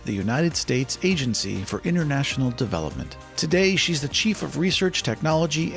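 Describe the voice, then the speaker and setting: A male podcast host with a gravelly, creaky voice at an ordinary pitch. He asks questions in a friendly, enthusiastic way. Soft music plays faintly beneath him. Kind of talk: podcast interview.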